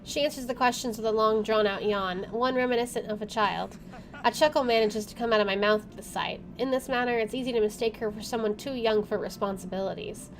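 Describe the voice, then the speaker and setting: A woman's voice: fairly high pitch, brisk, a little hiss or hum. A woman playing a visual novel, reading the story aloud and dropping in casual asides. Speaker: game player, channel host.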